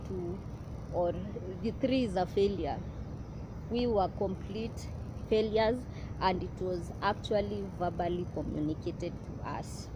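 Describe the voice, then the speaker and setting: A young woman, speaking in an earnest, halting way and often pausing to restart, in a personal vlog. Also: medium pitch. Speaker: vlogger. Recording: clear outdoor street noise behind.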